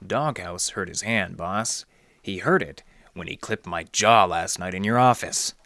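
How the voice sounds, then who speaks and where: Deep-voiced, gravelly hardboiled detective. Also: male voice, unhurried, no echo, studio quality, full character voice. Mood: pressing, accusing. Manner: deadpan, sardonic, slangy. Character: wry, tough male library detective narrating his case. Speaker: actor, lead and narrator. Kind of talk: hardboiled 1940s detective radio acting.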